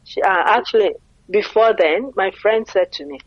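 A woman's voice: medium pitch, normal speed, husky, dry recording, lo-fi sound. A middle-aged Nigerian woman with a Nigerian accent, speaking earnestly as an interview guest and often asking rhetorical questions. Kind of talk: conversational interview.

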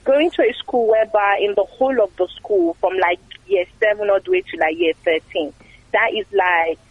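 A woman from Nigeria talks as a guest in a relaxed interview, with a slightly husky voice of middling pitch. She chats loosely, full of fillers and repeated words. The recording sounds a little dull and muffled. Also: normal speed, no room sound. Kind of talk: casual interview talk.

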